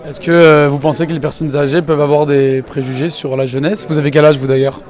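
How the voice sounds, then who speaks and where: A man's voice: on the high side, little pitch movement, fast talker, a little mellow. A man interviewing people in a casual, conversational way. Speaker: interviewer at an event. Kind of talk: casual street-style interview. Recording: outdoor event with crowd chatter behind.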